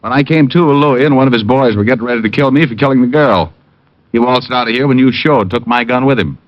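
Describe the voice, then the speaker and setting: An actor playing a wisecracking private detective who narrates a 1950s radio drama in a dry, deadpan way. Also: male voice, medium pitch, fast talker, no echo, natural voice. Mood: wry. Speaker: narrator and lead. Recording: dull lo-fi old radio recording.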